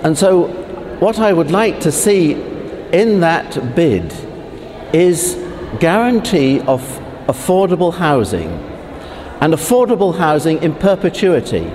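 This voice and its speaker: Slow, halting parish priest in a church. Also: male voice, on the high side, very animated, darkish tone, big-room sound, slight background noise. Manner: slow and halting, with pauses and restarts. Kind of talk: prepared statement for a video letter.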